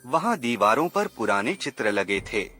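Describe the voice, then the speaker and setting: A man's voice: mid-range voice, very animated, moderate pace, sharp tone, soft background music. A man narrating a scripted horror story in a dramatic, suspenseful manner.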